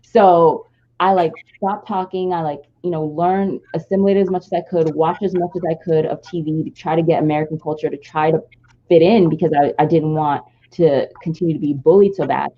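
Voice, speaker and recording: A woman with a notably low, deep voice tells her story in a casual podcast chat. She is earnest and reflective, letting long thoughts run on. The recording is dull and muffled. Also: fairly even intonation, fairly quick. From Nigeria. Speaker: podcast co-host.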